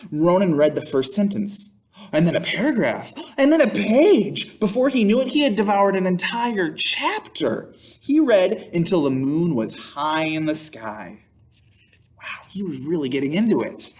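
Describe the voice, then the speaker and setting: A man's voice: high voice, very animated. A man reading a picture book aloud to children as a storytime host, theatrical and exclaiming, with playful asides. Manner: theatrical, exclaiming, drawn-out emphasis.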